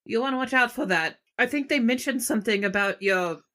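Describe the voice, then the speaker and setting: A woman's voice: on the high side, lively intonation, fairly quick, sharp tone. Woman acting as injured female adventurer in a tabletop game, bored and offhand, swinging intonation. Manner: offhand, rambling.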